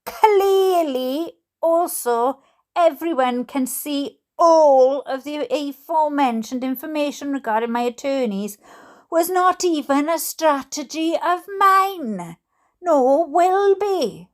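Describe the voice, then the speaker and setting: Female Welsh vlogger, high voice, very leisurely delivery; reads aloud expressively and chats informally with playful humour. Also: takes it slowly. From Wales. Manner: jokey, self-deprecating, giggly, expressive. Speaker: YouTube host reading letters aloud. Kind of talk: casual reading aloud with chatty asides.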